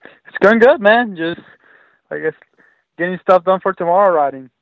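A man in his twenties from Venezuela, a professional motocross racer, answering interview questions in a relaxed way with frequent fillers. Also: high voice, moderate pace, no room sound, phone-quality sound. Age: 20s. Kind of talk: casual interview.

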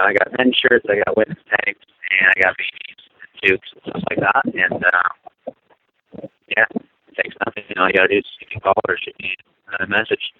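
Man on a tinny phone line. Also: low voice. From United States. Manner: relaxed, rambling, many fillers. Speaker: motocross racer guest. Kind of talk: casual chat over the phone.

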